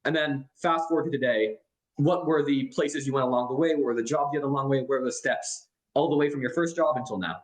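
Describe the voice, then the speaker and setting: A man's voice: medium pitch, fast talker, low-quality recording. A young man hosting an interview podcast, reading an introduction and asking long, multi-part questions. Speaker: podcast host.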